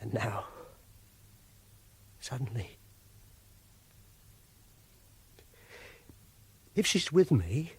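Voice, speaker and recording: An actor playing an obsessive, lonely, self-pitying English clerk, confiding haltingly in a first-person radio drama monologue. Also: male voice, medium pitch, big pitch swings, husky, full character voice. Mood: dreamy, obsessive.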